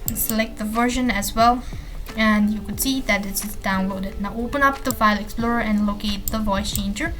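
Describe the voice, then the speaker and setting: A woman hosting a software tutorial, giving step-by-step screencast narration in an instructional way with stock phrasing. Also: medium pitch, steady tone, moderate pace. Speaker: tutorial host. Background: background music.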